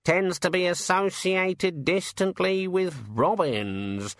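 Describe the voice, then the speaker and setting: A man puts on a talking raven with a sour, rambling gripe about the world. The voice sits higher than usual and drags slowly. It is a storybook creature, all complaint. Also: a bit bright, dry recording, clean studio sound, exaggerated voice. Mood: grumbling. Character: cynical chatty male raven, grumbling.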